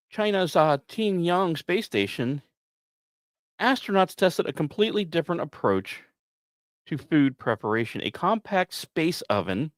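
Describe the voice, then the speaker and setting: A man's voice: high-pitched, dry recording, clean studio sound. A man hosting a podcast, narrating science news from notes with casual, wry asides. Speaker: podcast host.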